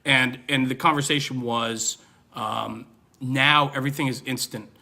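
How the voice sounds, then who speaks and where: A man's voice: mid-range voice, fairly even intonation, a little slow, sharp tone. A man interviewing in a casual discussion as a fellow firefighter, making his points emphatically.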